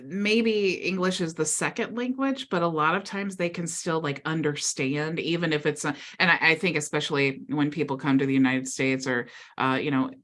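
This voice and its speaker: A woman's voice: deep voice, fairly even intonation, moderate pace, hardly any pauses, a bit bright. A woman hosting a live Q&A video call, chatty and upbeat with lots of filler words. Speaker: mastermind call host.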